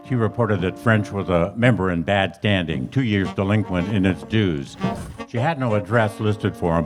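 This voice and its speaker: A terse, businesslike detective played by a man in a radio police drama, with a low voice. His delivery is clipped, polite and deadpan. Music plays clearly behind him. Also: close to an everyday voice. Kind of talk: live radio play acting, police procedural.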